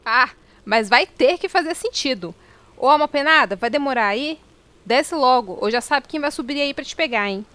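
A woman's voice: high-pitched, lively intonation, normal speed, pushed and bright, a little hiss or hum. A woman playing a bossy, sarcastic, impatient character in an audio drama, with a Brazilian accent and slangy speech.

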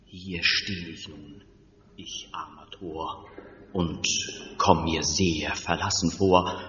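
A man playing a theatrical stage actor who declaims rhymed verse in a drawn-out manner in a radio play. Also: rumbling low, fairly even intonation, fairly bright tone, heavily acted. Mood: mock sorrow. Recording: muffled, echoey theatre hall, noisy.

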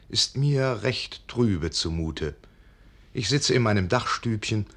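Wistful, low-voiced poet storyteller. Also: male voice, fairly bright tone. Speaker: narrator. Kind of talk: radio play storytelling.

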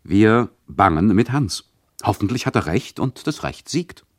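An actor playing a mock-solemn, theatrical narrator who chummily addresses the listeners of a radio play. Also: male voice, on the low side, expressive, a little slow, no room sound, studio quality.

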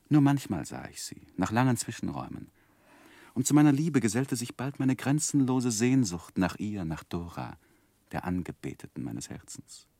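A man acting an earnest, lovestruck young gentleman who recalls his youth in formal, gently self-mocking in a 1960s radio drama. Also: low-pitched, dry recording, full character voice. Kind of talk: literary first-person narration, 1960s German radio drama.

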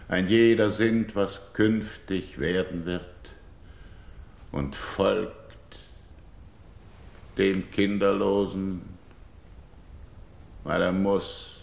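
A proud older king in a classical radio play, speaks very low with a gravelly creak. His is solemn and slow, declaimed as verse in a roomy space. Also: a little mellow. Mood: solemn, restrained. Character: grieving, proud older king, childless widower-like ruler seeking a bride.

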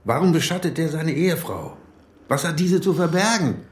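An actor playing a teasing, pointed police detective in a radio drama. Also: male voice, on the high side, big pitch swings, moderate pace. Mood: wry, probing. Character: needling, gossipy male police detective. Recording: roomy echo.

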